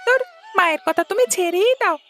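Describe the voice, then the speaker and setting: A woman's voice: extremely high pitch, fast, fairly bright tone, faint music behind. A woman voicing a whiny, pleading little boy in a Bangla cartoon. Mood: eager, pleading.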